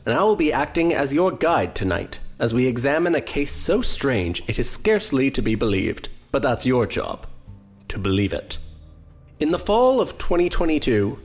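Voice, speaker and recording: A man acts as a theatrical host guiding an audience through a stage drama. His mid-pitched voice swings widely in intonation. He is deliberate and probing, fond of rhetorical flourish. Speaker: narrator and on-stage interviewer. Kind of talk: scripted stage narration.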